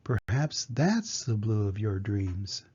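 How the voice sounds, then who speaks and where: A man's voice: fairly low pitch, very animated, slow, darkish tone, husky, pitched below the performer's own voice, full character voice. A man voicing a seasoned old male sailor from a storybook, talking like a salty, musing storyteller. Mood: musing, worldly. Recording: dull lo-fi home recording.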